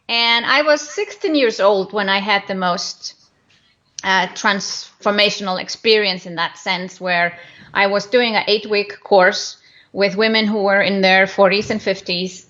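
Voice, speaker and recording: A woman's voice: medium pitch, a bit bright. A woman with an Icelandic accent, a guest business coach giving a conversational account of herself from her home office. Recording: dull, lo-fi online call.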